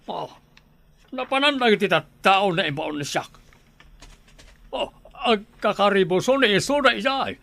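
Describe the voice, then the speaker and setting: A man's voice: high-pitched, expressive, unhurried, vocal fry, pauses often, pushed and bright, full character voice. A man playing a domineering rich father in an audio drama, with a blustering, commanding manner. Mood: worried. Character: domineering rich father, a gruff island boss.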